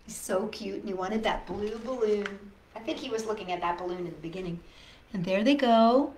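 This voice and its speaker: A woman's voice: mid-range voice, brisk, darkish tone. A woman reading a picture book aloud as a storytime host, expressively, with sympathetic, coaxing asides to young viewers. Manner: sympathetic, coaxing, talks directly to children. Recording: echoey room, faint background noise.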